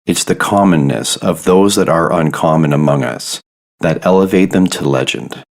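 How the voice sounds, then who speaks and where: Super deep gravelly guy hosting a podcast, slow thoughtful intro. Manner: measured, reflective. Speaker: podcast host. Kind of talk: scripted introduction.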